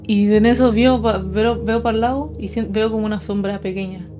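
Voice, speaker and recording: A woman's voice: mid-range voice, fairly even intonation, fairly quick, music in the background. A young adult woman telling personal stories to her viewers in a casual, conversational, explanatory way. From Chile. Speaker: paranormal storytelling video host.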